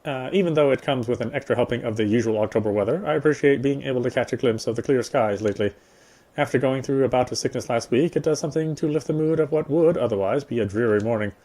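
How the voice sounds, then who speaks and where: A man with a medium-pitched voice reads out the narration of a story game. He goes through it in a measured storytelling manner, like a player sharing the text with viewers. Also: warm tone. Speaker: gameplay streamer reading aloud. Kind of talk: reading story narration aloud.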